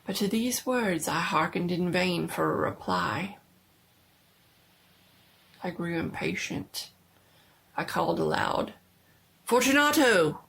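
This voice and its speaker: A woman giving a dramatic reading of a horror story, theatrical and deliberate, often dropping to a hush. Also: fairly low pitch, creaky.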